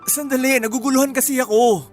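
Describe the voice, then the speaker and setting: A man's voice: very high-pitched, fairly even intonation, quick pace. An actor, a man, playing a flustered young cheating boyfriend who pleads and fumbles excuses in a radio drama. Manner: whining, stammering excuses. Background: faint background music.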